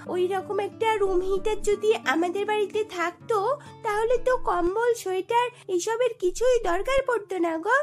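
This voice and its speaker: A little village girl in a cartoon. The voice is extremely high and babyish with lisped words, innocent and curious. Soft music is behind it. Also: normal speed. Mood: wistful complaining.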